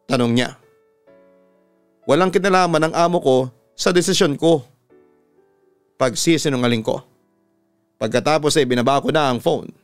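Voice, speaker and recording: A man's voice: medium pitch, clear, resonant tone, dry recording. A man with a Filipino accent, a radio announcer and host, giving a dramatic reading of a listener's letter. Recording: music behind the voice. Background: background music.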